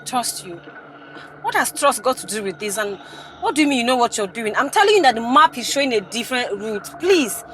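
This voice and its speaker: High, bright, animated woman. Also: a little fast, slight background noise. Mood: suspicious. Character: hot-tempered young woman, indignant and easily excited. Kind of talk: naturalistic screen drama acting.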